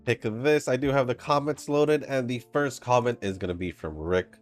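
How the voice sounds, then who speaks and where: A man's voice: medium pitch, normal speed. A man hosting a gaming channel, chatting casually over gameplay with a stuffy, congested nose, rambling and laughing between comments. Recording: faint game music behind. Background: soft game music.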